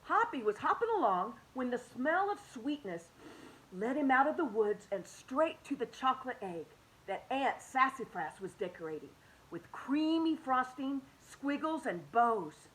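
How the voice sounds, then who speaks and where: A woman reading a children's picture book aloud, expressive and animated, dropping to soft hushed tones. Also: sits high, unhurried, a little hiss or hum, low-quality recording. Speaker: children's story reader.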